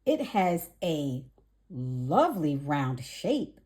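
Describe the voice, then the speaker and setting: Woman doing a friendly, drawn-out storybook duck. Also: deep voice, very animated, a little mellow, voice pushed down, full character voice. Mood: kindly. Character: friendly male duck, fond of snails. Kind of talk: children's storybook character voice.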